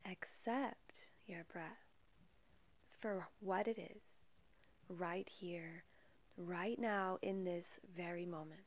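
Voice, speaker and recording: Slow, soft-spoken woman guiding meditation. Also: lowish voice. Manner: calm, measured, gentle, with long pauses. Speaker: meditation guide and podcast host. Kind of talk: guided meditation.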